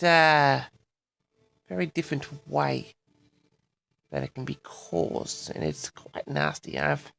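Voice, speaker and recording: A man hosting an audio log, rambling in a halting solo monologue with frequent pauses, addressing his audience directly. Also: on the high side, creaky, fairly bright tone. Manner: halting, pausing often, repeatedly addresses the audience.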